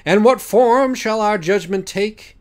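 A man's voice: high voice, slow pace, voice pushed up. A man voicing a grave, pompous judge of souls, speaking formally and rhetorically in an audiobook. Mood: ceremonious. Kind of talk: mock-classical dialogue in an audiobook.

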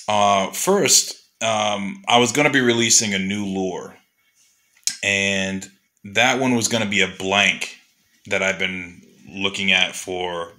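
A man's voice: fairly low pitch, pushed and bright. A man who makes custom lures, talking loosely to his customers in a workshop with lots of fillers. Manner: loose and chatty, many fillers. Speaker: custom lure maker. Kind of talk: casual shop update to customers. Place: indoor workshop.

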